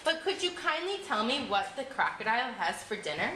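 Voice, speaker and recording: Woman voicing a high-pitched, curious little elephant child. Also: very animated, brisk, pushed and bright, echoey room, slight background noise. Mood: polite, curious. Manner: very polite, wide-eyed questioning.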